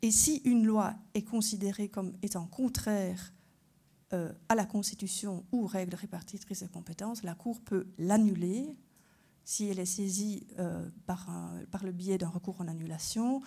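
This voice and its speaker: A woman's voice: mid-range voice, steady tone, moderate pace, creaky, mellow, husky. A woman from Belgium whose first language is German, a constitutional court judge giving a structured conference lecture and putting rhetorical questions to her audience. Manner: structured explanatory delivery, poses rhetorical questions.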